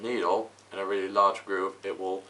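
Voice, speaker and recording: Young male, low pitch with a bright edge, halting informal tutorial delivery, home camera mic. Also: flat delivery, poor audio. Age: young adult. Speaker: host, hobbyist record restorer. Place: small room at home, indoors. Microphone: camera microphone. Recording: faint background noise, home recording.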